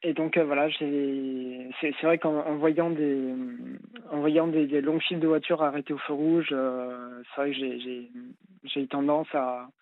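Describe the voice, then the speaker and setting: A man in his late twenties calling in to a radio show, speaking haltingly with many fillers and restarts. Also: a little high, level tone, dry recording. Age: late 20s. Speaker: caller. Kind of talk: phone-in call. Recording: tinny phone line.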